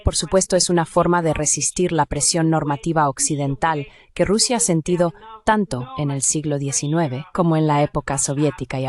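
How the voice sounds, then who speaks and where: A woman with a distinctly low-pitched voice gives explanatory interview answers. She speaks as an academic guest, setting out her points as an expert would. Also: a little mellow.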